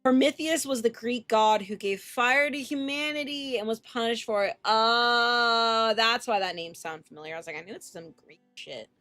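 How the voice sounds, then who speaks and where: A woman's voice: on the high side, normal speed, few pauses, sharp tone, soft background music. A woman streamer reading a visual novel aloud expressively, with casual, sweary reactions.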